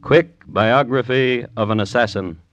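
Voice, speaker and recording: An actor playing a wry, sardonic host and narrator of crime stories, deliberate and deadpan in wording, in 1950s radio style. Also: male voice, medium pitch, lightly acted. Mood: wry, matter-of-fact. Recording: dull vintage radio recording.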